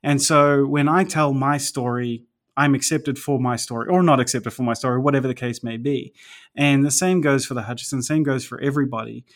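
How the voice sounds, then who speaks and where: A man's voice: medium pitch, steady tone, a little fast. New Zealand man telling long stories. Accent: New Zealand, partly American-sounding. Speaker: church planter guest. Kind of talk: casual interview, long storytelling.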